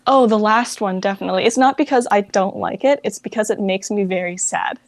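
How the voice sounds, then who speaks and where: A woman's voice: medium pitch, a little fast, dry recording. A woman chatting informally about books as an interview guest, often saying "like" as she goes. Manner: informal, peppered with "like". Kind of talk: casual chat about books.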